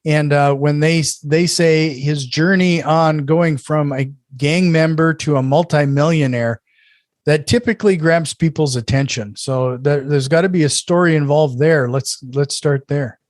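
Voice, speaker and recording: A male podcast host introduces his guest in a friendly, casual way, often tripping over and restarting his words. His voice sits a little high. The recording is dry and close, with no room sound. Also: measured pace, no room sound. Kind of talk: casual interview.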